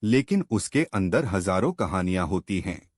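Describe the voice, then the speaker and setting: A man's voice: sits low, sing-song, moderate pace, husky, no room sound, clean studio sound, heavily acted. A man voicing a fearsome female witch who guards treasure, commanding and dramatic, in a children's storybook tale. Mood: commanding, riddling.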